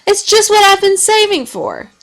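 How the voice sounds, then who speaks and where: A woman voicing an eager, excitable seven-year-old boy in a children's storybook. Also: very high voice, moderate pace, voice pushed up, heavily acted. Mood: excited. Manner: excitable, pleading.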